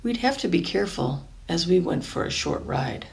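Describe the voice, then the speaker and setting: Creaky-voiced woman reading a picture book. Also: on the low side, expressive, normal speed, darkish tone, a little hiss or hum. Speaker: storybook reader.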